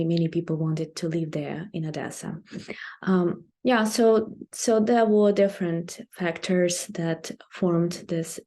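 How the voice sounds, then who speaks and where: A woman from Ukraine, a native Ukrainian speaker with a Ukrainian accent, answering interview questions hesitantly with many ums and pauses. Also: sits low, mellow. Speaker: guest, Ukrainian language teacher.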